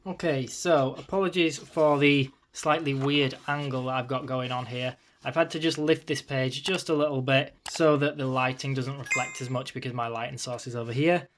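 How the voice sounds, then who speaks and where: A man's voice: medium pitch, steady tone, normal speed, few pauses, fairly bright tone, slight background noise. A man hosting his channel, chatting casually while flicking through an art book, his voice a little strained from a long day of talking, with frequent ums and asides. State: voice slightly strained, losing it.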